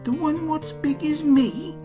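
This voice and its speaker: Man voicing an eager little British boy, high-pitched. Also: slow, over music. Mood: chirpy, cheeky. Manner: plain-spoken, eager. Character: small earnest boy auditioning, cartoony British street urchin. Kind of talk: child role in audio fiction.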